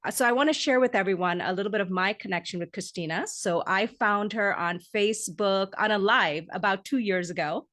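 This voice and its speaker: A woman's voice: mid-range voice, brisk, few pauses, a bit bright. A woman hosting a podcast, introducing and interviewing her guest with gushing, effusive praise. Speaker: podcast host.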